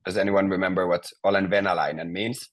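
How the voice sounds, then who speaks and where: A man's voice: low voice, fairly even intonation, fast talker. A man teaching a beginner language class, patiently prompting students and explaining grammar step by step.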